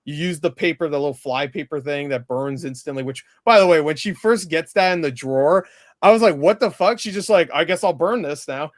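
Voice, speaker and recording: Higher-pitched man, casual, dry close sound. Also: fairly quick, no echo. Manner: swears freely; acts out reactions in quoted lines. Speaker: movie reviewer. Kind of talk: casual film review chat.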